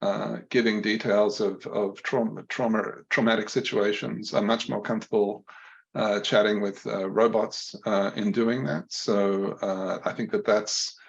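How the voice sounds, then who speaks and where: A man with a fairly low voice reasons aloud on a panel. He pauses often, trails off and fills the gaps. The audio is dull and lo-fi, like a muffled video call. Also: fairly even intonation, vocal fry. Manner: thoughtful, hesitant, with many fillers.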